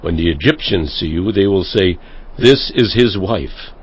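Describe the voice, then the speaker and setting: A man's voice: sits low, measured pace, slightly rough. An actor playing an anxious ancient patriarch pleading urgently with his wife in a dramatized audio scripture.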